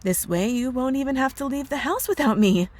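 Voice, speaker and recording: A woman playing a possessive young witch girlfriend in an ASMR roleplay, cooing sweetly with drawn-out words and a menacing undertone. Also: high-pitched, expressive, darkish tone. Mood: smug, controlling. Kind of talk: ASMR roleplay audio drama.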